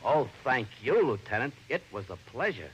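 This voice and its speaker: A slow-witted New cop blusters through an old radio detective comedy. His delivery swings up and down, with ungrammatical speech and pompous attempts at formality, over a noisy vintage recording. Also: medium pitch, very animated, a bit bright. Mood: stiffly formal. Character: dim-witted, blustering police sergeant aping formality.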